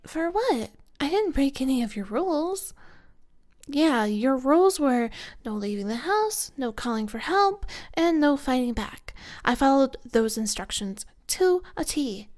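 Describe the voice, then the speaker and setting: A woman playing an easygoing, playful young kidnap captive who loves gaming, speaking softly and casually in an ASMR roleplay audio drama. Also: high-pitched, big pitch swings, measured pace. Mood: protesting. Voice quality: soft. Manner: casual, teasing, conversational.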